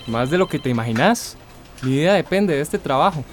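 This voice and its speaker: Actor as higher-voiced self-doubting office worker, Costa Rican man. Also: expressive, a little fast, lightly acted. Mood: uneasy, modest. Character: anxious, self-doubting male office employee. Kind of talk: radio soap opera acting.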